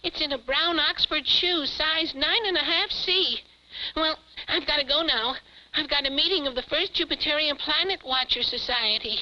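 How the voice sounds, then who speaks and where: Perky, piercingly bright little alien puppet. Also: female voice, high-pitched, normal speed. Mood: hurried. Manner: quaint exclamations, eager and earnest. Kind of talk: 1950s television puppet show acting. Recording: muffled, hissy 1950s television soundtrack.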